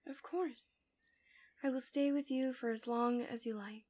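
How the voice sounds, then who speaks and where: A woman playing a manipulative villainess in an ASMR roleplay, tenderly soothing her captive in a gentle, coaxing, breathy voice that slips into whispers. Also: fairly high pitch, steady tone, a little slow, lightly acted. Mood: seductive, possessive devotion. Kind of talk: ASMR roleplay, intimate soft speech slipping into whispers.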